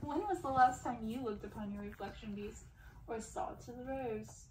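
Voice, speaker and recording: A woman voicing cruel witch sisters from a storybook, mocking and deliberate in their taunts. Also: fairly high pitch, close to an everyday voice. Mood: menacing, taunting. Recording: roomy, distant, faint background noise.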